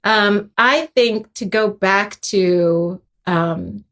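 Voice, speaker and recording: A woman's voice: mid-range voice, very slow, a bit bright. A young adult woman, a composer guest, speaking thoughtfully with many fillers and restarts. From Haitian heritage. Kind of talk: reflective interview talk.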